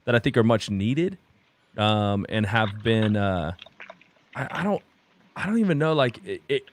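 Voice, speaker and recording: A man in his thirties from Oklahoma, a pastor talking in a halting, reflective way with many restarts during a candid conversation. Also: lowish voice, lively intonation, a little hiss or hum. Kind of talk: candid conversation on a video call.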